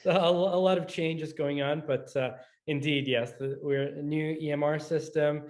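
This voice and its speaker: A middle-aged man from Scotland who moved to the US as a teenager, answering interview questions conversationally in a measured way with frequent pauses. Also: a little high, steady tone, darkish tone. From Scotland, moved to the US in high school. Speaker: guest, orthopedic surgeon.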